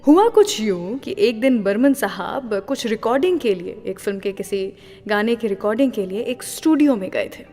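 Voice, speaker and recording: A woman's voice: mid-range voice, animated, moderate pace, warm tone, long reverb tail, faint music behind. A woman hosting a radio show, telling a story between songs in a chatty, teasing way. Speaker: radio host.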